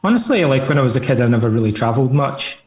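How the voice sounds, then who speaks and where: A middle-aged Scottish man talks over a video call, loosely and easily, with plenty of fillers. His voice sits at an ordinary middle pitch. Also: sing-song, very fast, a little mellow. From Scotland. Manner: easygoing, rambling with many fillers. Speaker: guest, author and psychotherapist. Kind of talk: relaxed conversational interview answers.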